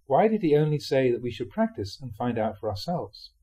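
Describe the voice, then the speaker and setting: A man reading Buddhist teachings aloud like a monk giving a dharma talk, with measured, emphatic phrasing. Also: mid-range voice, big pitch swings, dark tone, husky.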